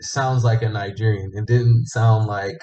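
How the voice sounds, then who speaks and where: A man's voice: lowish voice, fairly even intonation, unhurried, dark tone, dull audio. A male host laying out findings in a matter-of-fact, earnest way. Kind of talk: reality show talk.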